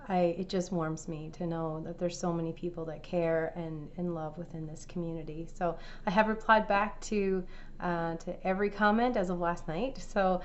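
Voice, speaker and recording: Raspy-voiced woman hosting a crafting vlog. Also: lowish voice, lo-fi sound. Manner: warm, rambling, many fillers and restarts. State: raspy from laryngitis. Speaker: cross-stitch channel host. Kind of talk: casual chatty crafting vlog.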